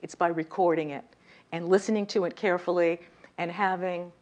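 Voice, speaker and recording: A woman with a slightly high voice giving a lively lecture on communication, often turning to the audience with questions. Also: brisk, highs cut off. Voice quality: voice a little high, by her own account. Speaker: communication workshop speaker. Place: lecture room.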